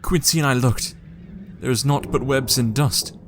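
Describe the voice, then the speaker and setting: A man's voice: medium pitch, normal speed, a little hiss or hum, natural voice. A man playing a defiant, haunted young Victorian vampire hunter in a horror audio drama, earnest and intense. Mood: grave.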